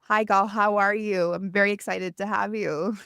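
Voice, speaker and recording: A woman's voice: mid-range voice, steady tone, normal speed, creaky, a bit bright, clean tone, dry recording, studio quality. A young woman from El Salvador hosting a podcast, upbeat and chatty, saying "like" often. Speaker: podcast host. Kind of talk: casual interview chat.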